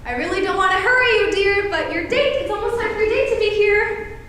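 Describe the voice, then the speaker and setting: A woman's voice: extremely high pitch, brisk, pushed and bright, a little hiss or hum. A woman on stage playing a fussy, insistent, genteel aunt and nagging wife, chatty and theatrical, in a distant and echoey recording. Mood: sugary, coaxing. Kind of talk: amateur stage play acting. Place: stage of a school performance space. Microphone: distant camera microphone.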